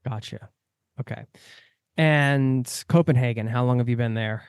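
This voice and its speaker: A man's voice: mid-range voice, measured pace, clear, resonant tone, no room sound. Give me an American man interviewing a guest, casual and chatty, in a dry close sound. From United States. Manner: chatty, laughs often. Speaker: podcast host.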